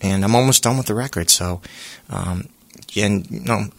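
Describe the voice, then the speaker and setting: A singer being interviewed, talking in a relaxed, rambling way with lots of pauses and fillers. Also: male voice, fairly low pitch, vocal fry. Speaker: singer guest. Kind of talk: casual interview chat.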